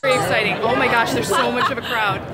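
A woman's voice: fairly high pitch, steady tone, quick pace, a loud crowd, very noisy. A young woman vlogging casually at a convention, chatty and giggly, filming herself at arm's length. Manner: giggly, chatty, mock nature-documentary voice at times. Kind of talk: casual con vlog chat. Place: busy convention center and city plaza, indoors and out. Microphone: handheld camera at arm's length.

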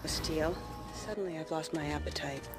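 An actress playing a cool, kindly young female husky in a cartoon film, composed and dryly reproving. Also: deep voice, moderate pace, soft background music, lightly acted.

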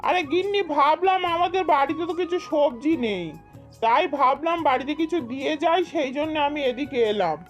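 A woman's voice: high-pitched, animated, fairly quick. A woman acts out a hardworking, weary village farmer and father in a cartoon. He sounds rustic and plain-spoken. Music runs faintly underneath. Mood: homely, weary. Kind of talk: amateur cartoon dubbing.

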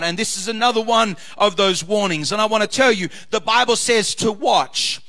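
A man preaching as pastor, reading scripture and swinging between loud shouted climaxes and quieter asides. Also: sits high. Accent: Australian. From Australia.

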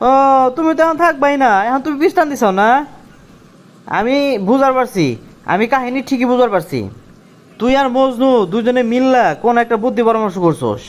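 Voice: mid-range voice, expressive, brisk, close to an everyday voice. Casting: hot-headed, cheeky young village schemer played by an actor, rural Bangladeshi accent, colloquial and argumentative, comic cartoon. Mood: angry, accusing. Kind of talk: comic Bengali cartoon dialogue.

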